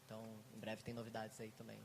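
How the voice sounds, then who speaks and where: A Brazilian man in his twenties introducing himself as a psychologist in a group, relaxed with hesitant fillers. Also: fairly low pitch, fast talker, creaky, long reverb tail, noisy background. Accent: Brazilian Portuguese. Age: 20s. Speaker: psychologist, harm reduction worker.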